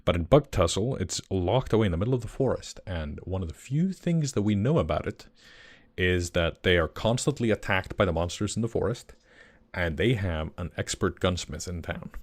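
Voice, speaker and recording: A man's voice: deep voice, lively intonation, normal speed. A young Icelandic man chatting casually on a livestream, rambling and enthusiastic, with many fillers and restarts. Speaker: streamer.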